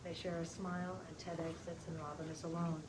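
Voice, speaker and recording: A woman narrates stage directions from a script in a fairly low voice. The delivery is scripted narration, read aloud at a table read. There is clear noise around her in a live room recording. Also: little pitch movement, a little fast.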